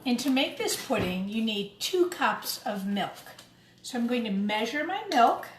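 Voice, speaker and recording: Higher-voiced woman teaching children in echoey kitchen. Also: lively intonation, a little slow. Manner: addresses young children directly in simple, explanatory phrases. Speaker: teacher presenting a children's cooking demonstration. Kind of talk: step-by-step cooking demonstration for children. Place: indoors in a kitchen. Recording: roomy echo, clattering kitchen noise.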